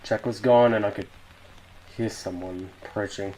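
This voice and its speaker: A man's voice: fairly low pitch, fairly even intonation, moderate pace, darkish tone, slight background noise, low-quality recording. A man doing a casual video reaction, reading captions aloud and muttering sweary asides. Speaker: YouTube reaction host.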